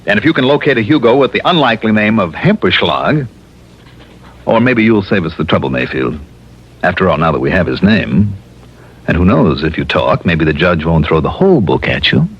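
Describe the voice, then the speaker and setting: An actor playing a cool, wisecracking investigator hero in an old-time radio detective drama, with a casual, self-assured delivery. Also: male voice, low-pitched, big pitch swings, brisk, slightly rough, heavily acted. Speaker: lead actor and narrator. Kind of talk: 1950s-60s radio detective acting. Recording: dull, hissy old radio broadcast.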